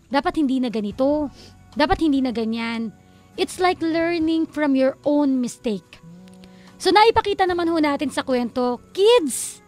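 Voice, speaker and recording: Mataas ang boses na Pilipinang radio host. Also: female voice, sits high. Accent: Filipino. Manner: emphatic, admonishing, colloquial. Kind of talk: conversational radio advice talk. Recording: music playing behind the voice. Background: background music.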